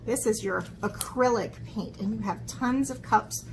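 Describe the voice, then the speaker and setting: High-voiced woman teaching children art. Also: unhurried, a little hiss or hum. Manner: encouraging, chatty, frequent check-in tags like "okay?". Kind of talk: instructional video talk to children, with read-aloud.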